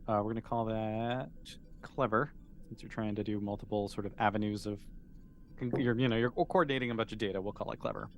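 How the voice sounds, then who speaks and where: A man acting as game master, improvising narration in a halting way as he thinks aloud. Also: lowish voice, steady tone, slight background noise.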